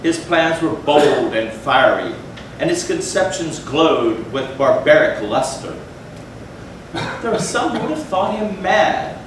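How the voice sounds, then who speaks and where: A man performing a dramatic stage reading of a gothic tale, with measured, theatrical phrasing and deliberate pauses. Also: fairly high pitch, sing-song. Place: large echoey room, live stage performance. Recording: echoey hall from far off, noisy.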